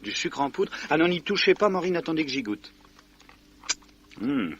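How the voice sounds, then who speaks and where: A man playing a prim, gallant young gentleman, formal and flustered, in an old radio drama. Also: on the high side, big pitch swings, moderate pace. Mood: astonished. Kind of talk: 1950s French radio drama acting. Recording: dull, noisy vintage radio recording.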